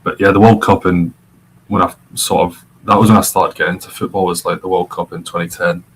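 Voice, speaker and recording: A man's voice: low-pitched, flat delivery, brisk, slight background noise, rough recording. A young man from Scotland, a podcast guest, chatting casually and rambling with fillers. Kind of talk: casual chat.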